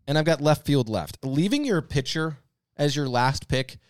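A man's voice: on the high side, expressive, normal speed, no echo, studio quality. A man co-hosting a casual sports banter podcast, talking in a rambling, emphatic, slangy way. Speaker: podcast co-host.

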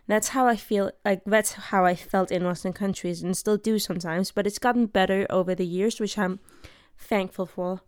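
A woman's voice: mid-range voice, steady tone, moderate pace, smooth, no room sound, clean studio sound. A young woman from Denmark hosting her own episode, talking in an earnest, heartfelt way and searching for her words. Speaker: podcast host. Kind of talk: personal monologue.

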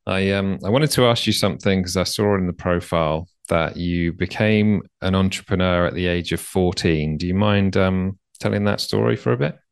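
A man hosting a podcast, asking his guest questions in a hesitant, halting way. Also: low voice, vocal fry, clean tone, dry recording, studio quality. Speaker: podcast host. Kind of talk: interview questions.

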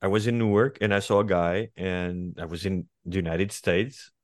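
A man in his forties from Portugal with a Portuguese accent, speaking as a guest writer in an interview, thoughtful and hesitant, often pausing and restarting. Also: low-pitched, steady tone, no room sound, studio quality. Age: 40s. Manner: reflective, hesitant with many fillers and restarts. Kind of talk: conversational interview.

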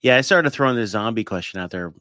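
A man's voice: fairly low pitch, animated, fast talker, sharp tone, no echo, clean studio sound. A man hosting a podcast, casually putting questions and comments to the others. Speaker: podcast host. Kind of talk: casual interviewing.

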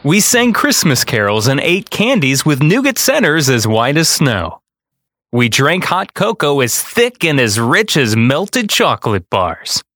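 A man's voice: fairly high pitch, very animated, a little slow, a bit bright, no room sound. Male storyteller, podcast-host narration, very varied intonation, dry sound. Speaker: podcast host reading a children's book aloud.

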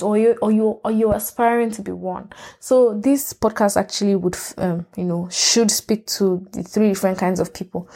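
A woman's voice: mid-range voice, gravelly, mellow. A woman, hosting a solo podcast in a rambling, candid way that often corrects itself. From Nigeria. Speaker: podcast host. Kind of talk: casual solo podcast monologue.

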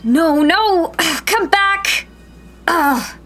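Woman playing a slow-paced, headstrong young female rebel. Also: very high voice, expressive, fairly bright tone, soft background music, full character voice. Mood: skeptical, exasperated. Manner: insistent, emotional, skeptical. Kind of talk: sci-fi radio drama acting.

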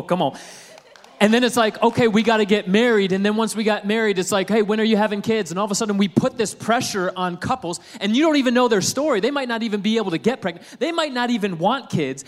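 A man in his thirties, a pastor preaching a sermon in a conversational, humorous way that climbs to loud, emphatic peaks. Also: high voice.

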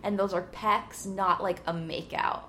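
A woman's voice: mid-range voice, steady tone, measured pace, sharp tone, slight background noise. A young woman in her twenties hosting a casual podcast, giggly and teasing, close to the mic. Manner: bubbly, giggly, teasing banter. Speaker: podcast host. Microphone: close podcast mic, loud.

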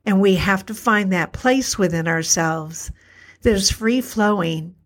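A middle-aged woman's voice, a touch hoarse, in an earnest solo podcast talk. She speaks at a medium pitch and returns to the same phrases again and again. She slows down on key words to stress them. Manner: earnest, repetitive, slows for emphasis. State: a little hoarse. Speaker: podcast host, alternative health practitioner.

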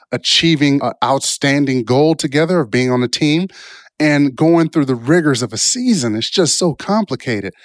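A man with an average-pitched voice talks like an informal motivational speaker. He is reflective and tends to ramble, restarting thoughts and tagging points with "right?" The sound is dry, with hardly any room in it. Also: no echo. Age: adult, past college years. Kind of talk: informal self-help talk with personal stories.

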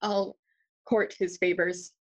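A woman playing a brooding, mocking young Shakespearean prince in an audio play, theatrical and deliberate, moving between English and Spanish. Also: on the low side, flat delivery, breathy edge, heavily acted, low-quality recording. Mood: intense, regretful.

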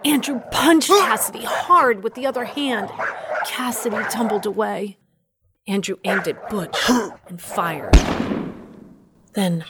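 A woman playing a devoted mother who narrates her story dramatically and deliberately in an audio drama. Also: medium pitch, heavy background noise, close to an everyday voice. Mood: dramatic, awed.

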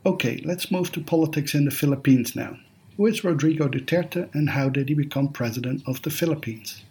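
Husky-voiced male podcast host. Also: on the high side, a little mellow. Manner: measured, formal scripted introductions. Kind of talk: podcast interview hosting.

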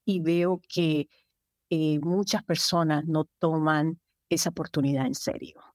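A woman in her fifties from Colombia, answering interview questions in a measured, thoughtful way with frequent hesitations. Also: low-pitched, fairly even intonation, no room sound, studio quality. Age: 50s. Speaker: guest, immigration agency director.

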